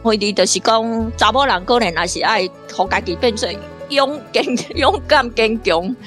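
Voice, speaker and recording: A woman from Taiwan, a guest reader, talking through a radio drama in a lively way, often laughing and restarting her phrases. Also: on the high side, moderate pace, faint music behind. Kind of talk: casual on-air discussion.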